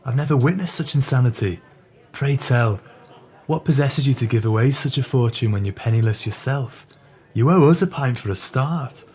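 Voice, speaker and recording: A man's voice: medium pitch, moderate pace, a little mellow, slightly rough, lightly acted. An actor playing a greedy, sly male swindler in an audio drama, with pompous, wordy, formal speech. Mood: disdainful.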